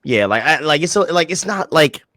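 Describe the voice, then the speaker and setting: A man's voice: fairly high pitch, expressive, moderate pace, sharp tone, no room sound. A young man co-hosting a podcast, talking casually in slang-heavy, rambling bursts. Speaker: podcast co-host.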